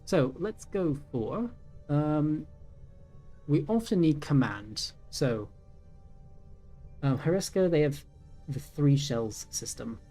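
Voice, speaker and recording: A man's voice: a little high, expressive, takes it slowly, long pauses, mellow. A man in his thirties giving casual let's-play commentary, thinking aloud with many ums and self-corrections. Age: 30s. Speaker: gaming YouTuber. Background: faint game music.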